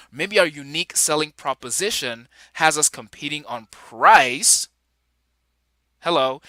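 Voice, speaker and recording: A man's voice: a little high, sharp tone, dry recording. A man from Nigeria in his forties, hosting his own podcast with an upbeat, enthusiastic delivery and chuckling asides. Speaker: podcast host. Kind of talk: upbeat solo podcast intro.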